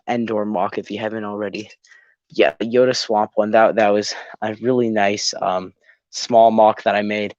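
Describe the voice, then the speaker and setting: A man's voice: lowish voice, no echo. Congested teen guy, lo-fi live stream. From Canada. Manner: rambling, lots of ums and restarts, friendly. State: congested, a bit sick. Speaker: YouTube channel host. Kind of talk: casual live-stream chat while building.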